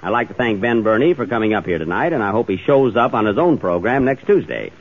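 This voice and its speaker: A man's voice: fairly low pitch, sing-song, fast. A man hosting a 1930s radio comedy, with dry, flustered comic timing. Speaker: comedian host. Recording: muffled 1930s radio broadcast with hiss.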